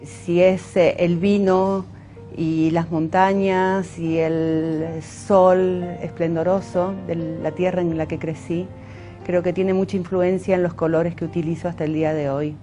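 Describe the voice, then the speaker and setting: A woman from Mendoza with an Argentine accent, a painter giving reflective interview answers and pausing often on "eh" fillers. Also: on the low side, little pitch movement, warm tone, soft background music. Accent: Argentine Spanish. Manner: thoughtful, pauses often with "eh" fillers.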